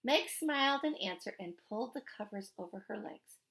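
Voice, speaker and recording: Lively high-voiced woman reading aloud. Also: moderate pace, creaky. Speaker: storybook reader. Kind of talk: expressive read-aloud narration.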